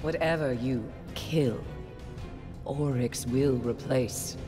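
A grim, cryptic seer. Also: female voice, extremely low pitch. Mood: ominous. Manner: slow, ominous, terse. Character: haunted female occult seer, grim, cryptic. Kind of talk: video game cutscene acting. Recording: music under a long reverb.

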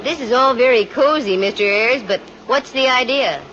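A woman's voice: fairly high pitch, moderate pace, noisy background, close to an everyday voice. An American actress playing a wry, self-assured female private investigator in a 1950s radio drama, with dry wisecracking delivery. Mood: wry, breezy. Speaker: lead actress and narrator. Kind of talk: 1950s radio detective drama acting, with first-person narration. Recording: muffled old radio recording, music behind.

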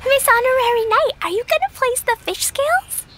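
A woman's voice: extremely high pitch, fairly quick, fairly bright tone. A woman voicing an earnest, childlike little girl adventurer in a video game. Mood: eager, trusting. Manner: childlike, wide-eyed, earnest. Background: game music.

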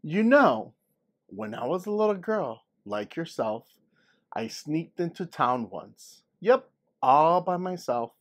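Man reading as a folksy old grandmother, higher-pitched. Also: sing-song, takes it slowly. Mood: fond reminiscing. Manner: folksy, storytelling. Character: folksy elderly Southern grandmother telling childhood tales. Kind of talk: storybook read-aloud.

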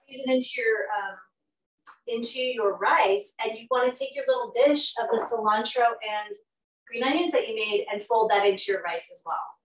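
A woman's voice: a little high, normal speed, noisy background. A middle-aged woman leads a live cooking class in her home kitchen, chatting and hurrying as she cooks. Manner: chatty, upbeat, many fillers while cooking, moves around the stove. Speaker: nutrition educator hosting a live cooking class. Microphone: laptop or fixed camera across the kitchen. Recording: roomy kitchen sound from a distance.

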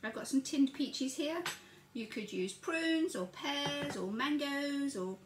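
A woman's voice: sits high, expressive, takes it slowly, noisy background. An older woman chatting through a breakfast recipe in her home kitchen, talking each step through as she works. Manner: chatty, narrates each step while working. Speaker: home cooking vlogger. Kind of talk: casual cooking demonstration. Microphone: camera across the counter. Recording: echoey kitchen with clattering dishes.

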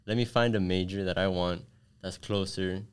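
Low, smooth-voiced young man from Miami. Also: steady tone, moderate pace. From Miami, USA. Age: young adult. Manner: earnest, thoughtful, frequent "like" fillers. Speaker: guest. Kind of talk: casual interview answers. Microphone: desk podcast mic with headphones.

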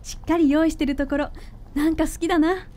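A woman playing a cheerful, teasing young girlfriend in a radio drama, with a bright, girlish manner. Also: high-pitched, fairly even intonation, fast talker, lightly acted. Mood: amused teasing. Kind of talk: Japanese radio drama acting.

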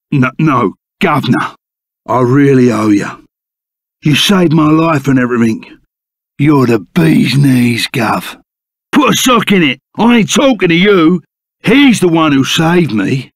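An actor voicing a burly, good-hearted ex-bandit in a video game, with a London Cockney accent and a blunt, bantering, colloquial manner. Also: male voice, fairly high pitch, no room sound, studio quality. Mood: grateful, earnest. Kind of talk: video game character acting.

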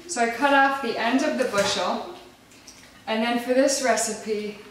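A woman's voice: a little high, measured pace, a little hiss or hum. A woman in her thirties patiently talking through a casual home cooking demonstration while coaxing small children along. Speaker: mother hosting a home cooking video. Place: large home kitchen, indoors. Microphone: camera across the counter. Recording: echoey kitchen, distant mic, clattering pans. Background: dishes, pans, clicks, small children.

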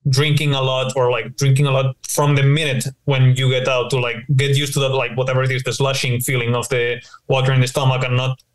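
A man's voice: medium pitch, little pitch movement, a little fast, few pauses. A man co-hosting a podcast, speaking casually and rambling with many fillers as he works through an idea. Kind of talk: casual discussion.

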